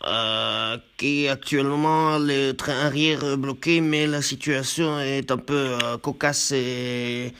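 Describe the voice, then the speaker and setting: A man playing an embarrassed, formal truck driver on a deadpan prank call, halting and over-polite as he confesses. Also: medium pitch, clean tone. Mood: embarrassed, hesitant. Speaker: prank caller.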